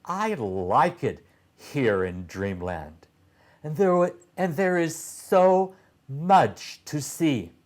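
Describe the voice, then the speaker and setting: A man voicing an eager, curious young boy adventurer in a storybook, in an excited, questioning manner. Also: a little high, very slow, pitched above the performer's own voice. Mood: pouting protest.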